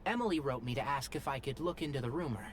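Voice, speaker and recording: A woman's voice: very deep voice, expressive, quick pace, a little hiss or hum. A woman playing an earnest, caring young forest ranger in an anime video game, explaining things helpfully.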